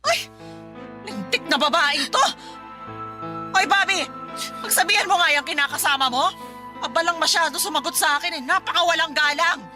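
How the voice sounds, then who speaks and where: A woman's voice: extremely high pitch, normal speed, long pauses. An actress playing a nagging elderly mother-in-law in a Filipino radio drama, scolding and complaining. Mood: indignant. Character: nagging, fault-finding elderly mother-in-law, quick to take offence. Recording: music bed behind the voice.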